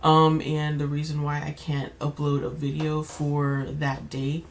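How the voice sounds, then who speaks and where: A young woman with a slightly raspy voice, chatting casually while playing a life-sim game, rambling with many fillers and restarts. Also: low voice, completely flat delivery, a little slow, a little hiss or hum. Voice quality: slightly raspy, keeps clearing throat. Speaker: gaming YouTuber. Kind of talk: casual gameplay commentary.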